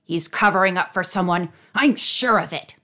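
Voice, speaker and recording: A woman's voice: lowish voice, moderate pace, over music, lightly acted. A woman voicing an angry, threatening male fashion designer in a storybook, snarling and accusatory. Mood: angry, indignant.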